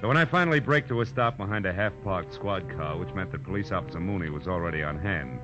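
Deep-voiced wry radio private eye, played by a man. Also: fast talker, music in the background, natural voice. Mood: wry narration. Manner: wry, sardonic. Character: wry, world-weary male private detective, first-person narrator. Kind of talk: 1940s radio detective acting and narration. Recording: dull old-time radio recording.

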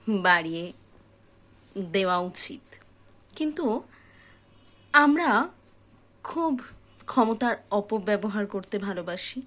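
Female motivational speaker, voice on the high side, very unhurried pace, earnest and emphatic direct address. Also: a bit bright. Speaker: host of a motivational reflection channel.

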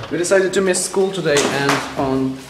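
Teen boy, fairly high voice, slow halting speech, echoey empty rooms, noisy phone audio. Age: teens. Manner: hesitant, repeats words, chuckles often. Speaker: vlogger. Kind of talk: casual handheld exploring vlog. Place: abandoned building indoors. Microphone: phone camera at arm's length.

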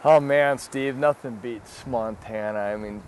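A middle-aged man hosting a fishing show outdoors by a river, talking casually with quick, enthusiastic exclamations on a clip-on mic. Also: mid-range voice, darkish tone, slight background noise.

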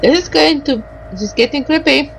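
Woman, higher-pitched voice, unhurried, casual gaming commentary, reactive muttering, clear background noise. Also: rough recording. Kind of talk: casual let's play commentary. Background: video game ambience.

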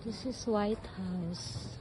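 A middle-aged woman chatting casually and excitably as she films a walking tour on her phone. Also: mid-range voice, very slow. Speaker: travel vlogger. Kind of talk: casual chat while filming a walking tour. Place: outdoors on piers and village walkways. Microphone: handheld phone at arm's length. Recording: clear outdoor ambient noise.

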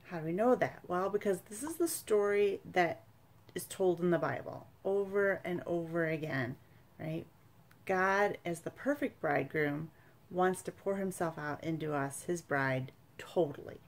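A woman's voice: on the low side, a little slow. A woman in her forties giving informal religious teaching to camera, explaining emphatically and often checking for agreement. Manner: explanatory, emphatic, seeking agreement with tag questions. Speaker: church retreat speaker, sacramental prep coordinator. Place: small home study, indoors.

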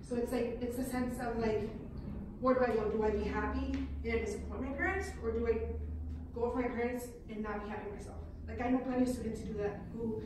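A woman's voice: on the high side, steady tone, fast, noisy background. A young woman panelist speaking earnestly in a panel discussion, recorded from far off in a large hall. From United States. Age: young adult. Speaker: panelist, former art student. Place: large hall with brick walls. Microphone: far room mic.

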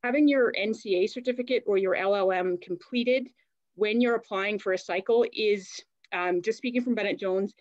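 A woman's voice: mid-range voice, moderate pace, no room sound, lo-fi sound. A woman recruitment manager on a panel, speaking in a practical way with some fillers over a video call. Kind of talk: panel discussion.

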